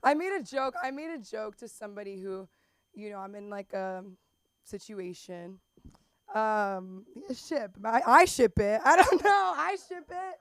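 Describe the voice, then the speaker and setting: A woman's voice: on the high side, measured pace, dry recording, clean studio sound. A young adult woman hosting an open mic, chatting in a rambling way with self-conscious asides. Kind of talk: stand-up hosting. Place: comedy club stage.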